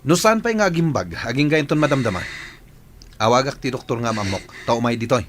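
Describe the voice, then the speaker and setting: An actor playing a gruff, nosy head of a household who questions and gives orders in a radio drama. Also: male voice, mid-range voice, lively intonation, brisk, background noise, lightly acted. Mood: fussing. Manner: questioning, commanding.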